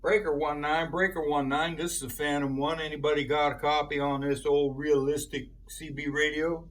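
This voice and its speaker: Man tinkering aloud over clear background noise. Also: fairly high pitch, level tone, normal speed, fairly bright tone, rough recording. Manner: folksy, thinking aloud while tinkering. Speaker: host of a DIY electronics repair video. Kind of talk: casual hands-on demonstration, talking to viewers.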